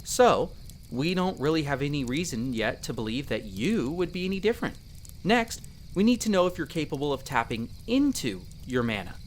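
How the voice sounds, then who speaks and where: A performer voicing a young male elf explorer in a roleplay audio drama, patiently and gently coaching the listener as a magic teacher. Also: medium pitch, sing-song, moderate pace, slight background noise, lightly acted. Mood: reassuring. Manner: gentle, reassuring, coaching step by step.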